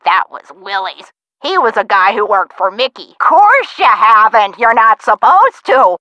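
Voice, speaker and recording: A woman playing a feisty, sharp-tongued elderly woman who tells theatrical stories of her youth in a video game. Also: on the high side, sing-song, unhurried, no echo, highs cut off, full character voice. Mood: chatty, reminiscing. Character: feisty, sassy elderly woman, nostalgic for the twenties. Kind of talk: video game character acting.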